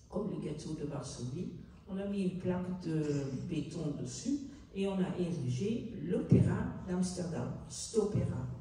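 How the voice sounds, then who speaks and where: A woman's voice: lowish voice, mellow. Older woman in an echoey hall. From Netherlands. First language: Dutch. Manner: halting, searching for words, earnest. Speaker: author and guest. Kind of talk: public book talk. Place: large hall at a city hall book fair. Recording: echoey hall from far off, faint noise.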